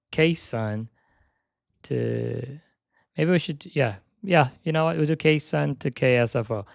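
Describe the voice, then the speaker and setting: Gravelly-voiced young man streaming casually. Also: medium pitch, no room sound, clean studio sound. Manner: chatty, thinks aloud while setting up; repeats "yep" while checking items. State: slightly hoarse. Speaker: flight sim streamer. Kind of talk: casual live-stream chat.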